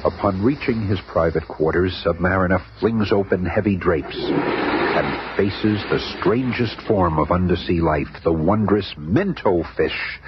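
A man narrating a superhero radio serial in a grandiose, theatrical storytelling style. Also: deep voice. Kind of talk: dramatic scripted radio narration. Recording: noisy, muffled old radio recording.

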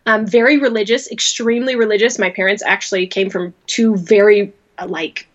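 A woman's voice: medium pitch, fairly even intonation, a little fast, highs cut off. A woman from rural Louisiana, talking casually as a podcast guest, rambling and laughing often as she restarts her sentences. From rural Louisiana, USA. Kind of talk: casual interview talk.

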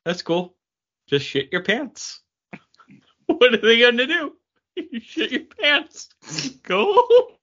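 Animated, bright-voiced man, jokey podcast banter. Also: very high voice, slow, long pauses, muffled recording. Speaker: podcast host. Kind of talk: casual podcast chat and banter.

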